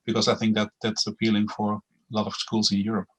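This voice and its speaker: A man's voice: lowish voice, monotone, fast talker, breathy edge. A man with a Dutch accent moderating questions in a webinar, speaking hesitantly with many uhs and restarts over a video call. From Netherlands.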